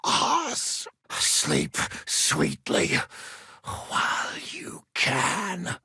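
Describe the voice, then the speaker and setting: An actor playing a defiant captured man who curses and shouts at his interrogator. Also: fairly high pitch, wildly swooping pitch, extremely slow, slightly rough, no room sound, in a whisper, clean studio sound, exaggerated voice. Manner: snarling, shouted insults.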